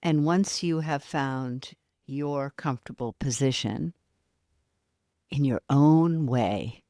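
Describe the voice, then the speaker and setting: A woman guides a meditation in a dry, intimate-sounding recording. Her delivery is slow and soft, with long pauses between short phrases. The manner is soothing and unhurried throughout, as a yoga nidra guide. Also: rumbling low, no room sound.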